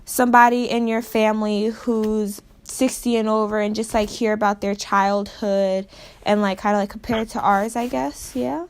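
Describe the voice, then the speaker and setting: A woman's voice: mid-range voice, fairly even intonation, moderate pace. A young woman student interviewing an older relative, talking casually with lots of filler words.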